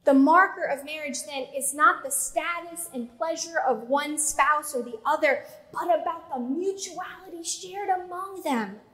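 High-voiced woman preaching from the pulpit. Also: pushed and bright. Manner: measured preaching with slow emphatic pauses and gestures. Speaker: pastor. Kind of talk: sermon. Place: church sanctuary with pipe organ. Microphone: pulpit mic.

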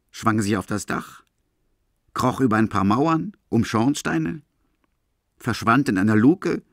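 A man's voice: medium pitch, no room sound, clean studio sound. A man reading scripted literary narration as the narrator of a radio play.

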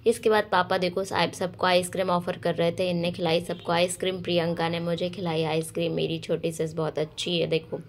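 A young woman, hoarse from a cold, chatting to viewers in a casual vlog voice-over. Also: lowish voice, flat delivery, fairly quick, hardly any pauses, a little hiss or hum. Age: young adult. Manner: chatty, addressing viewers directly. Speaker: vlogger and dance choreographer.

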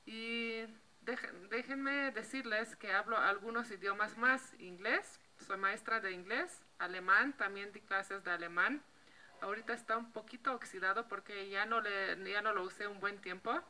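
A woman's voice: mid-range voice, moderate pace, bright, forceful tone, a little hiss or hum, poor audio. A woman in her thirties, a native Slovene speaker with a Slovenian accent, chatting casually to camera outdoors with a handheld mic, restarting words and laughing lightly. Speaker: vlogger. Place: outdoor patio by a block wall.

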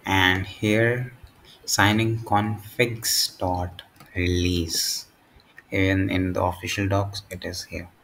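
A man with a fairly low voice presents a step-by-step software tutorial. He speaks very slowly, in short, halting phrases. There is faint noise behind his voice. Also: flat delivery.

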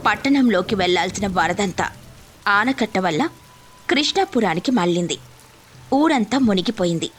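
A woman reading scripted narration as the storyteller of a moral tale. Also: medium pitch.

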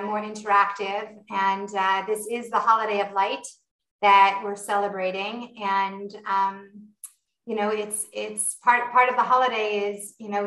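Bright, upbeat Canadian woman. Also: medium pitch. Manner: enthusiastic, welcoming, chatty with fillers. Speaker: chef hosting an online cooking class. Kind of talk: upbeat live online class. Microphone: video call. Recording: echoey room over a video call.

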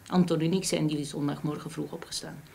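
A woman's voice: low-pitched, steady tone, fairly quick, vocal fry, a little mellow. An actress playing a prim, haughty married lady in a Dutch radio drama, speaking in a stiff, curt, disdainful way. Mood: curt, factual. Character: haughty, prim, level-headed married upper-class woman. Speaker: actor.

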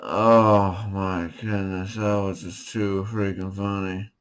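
A young man giving casual reaction commentary in an amused, halting way, often laughing through his words. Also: low voice, flat delivery, clean tone. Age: young adult. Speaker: reaction streamer. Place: small bedroom, indoors.

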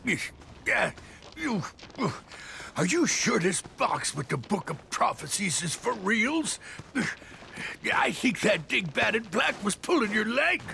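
A man voicing a big, dim, grumbling cartoon animal henchman in a gravelly, folksy drawl. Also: high-pitched, very animated, takes it slowly, sharp tone, a little hiss or hum, exaggerated voice. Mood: doubtful.

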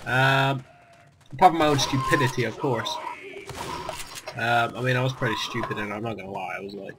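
A man's voice: medium pitch, a little fast, noisy background. A young man, rambling in casual gameplay commentary while still getting over an illness, full of fillers and restarts. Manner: rambling, self-deprecating, many fillers and restarts. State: recovering from a virus, lost his voice. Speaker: gaming YouTuber.

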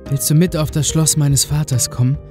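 A man's voice: on the high side, steady tone, moderate pace, music in the background, close to an everyday voice. A man playing a young, lovestruck fairy-tale prince, earnest and declamatory. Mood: ardent. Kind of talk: fairy tale audio play.

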